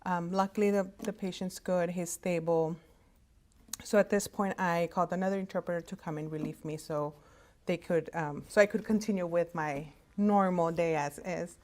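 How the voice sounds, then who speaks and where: A woman whose first language is Spanish, giving a casual career talk to students in a conversational, halting way, over a video call. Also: fairly low pitch, fairly even intonation, a little mellow. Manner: conversational, halting with frequent fillers and restarts. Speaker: hospital interpreter services director. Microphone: video call setup.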